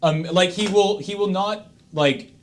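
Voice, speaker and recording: A man's voice: high voice, unhurried, a little hiss or hum. Enthusiastic Canadian man chatting. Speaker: panelist on a charity livestream. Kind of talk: casual chat recommendations.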